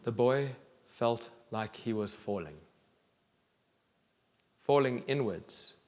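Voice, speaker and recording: A man in his thirties reads a storybook very slowly, with deliberate pauses and expressive phrasing. His mid-pitched voice carries a long reverb from a large theatre. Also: a little hiss or hum. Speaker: children's author reading aloud. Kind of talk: storybook reading to an audience. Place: large theatre stage, indoors.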